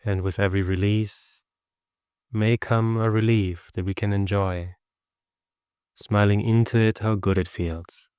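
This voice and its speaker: A man leading a guided meditation as a teacher, speaking gently and instructively with long pauses. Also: fairly low pitch, fairly even intonation, smooth, no room sound, studio quality.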